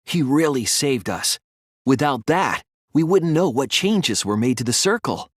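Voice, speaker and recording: A thoughtful teenage boy detective for a video game, speaks at a medium pitch. His intonation is very expressive as he lays out reasoning with earnest, deliberate care. The sound is close and dry, with no room around it. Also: slightly rough, no echo. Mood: earnest, analytical. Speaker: voice actor. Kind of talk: dramatic English game dub, deductive explanation.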